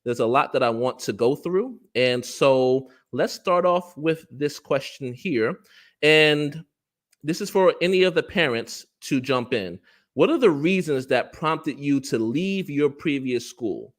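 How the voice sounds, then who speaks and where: A man's voice: fairly high pitch, animated, unhurried. A man with an American accent from New Jersey, hosting a parent panel on a video call, friendly, with frequent laughs and fillers. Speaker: parent relations executive and panel host.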